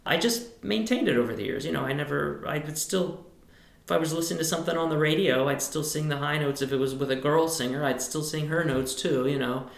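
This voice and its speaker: A man with a high tenor speaking voice, a boys choir director, answering interview questions in a thoughtful, rambling way. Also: brisk, gravelly, echoey room.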